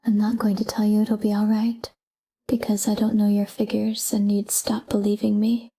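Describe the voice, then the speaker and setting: A woman softly guiding a sleep meditation in a calm, whispery, breathy voice, speaking in short, unhurried phrases with pauses. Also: medium pitch. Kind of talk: soft guided sleep meditation, whispered talk-down.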